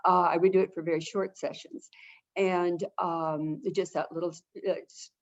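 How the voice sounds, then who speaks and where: Calm, gentle woman on a dull line. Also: on the low side, moderate pace. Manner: calm, gentle, repeats phrases for emphasis. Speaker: avian behavior expert, webinar guest. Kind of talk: casual explanatory talk. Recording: dull lo-fi webinar audio.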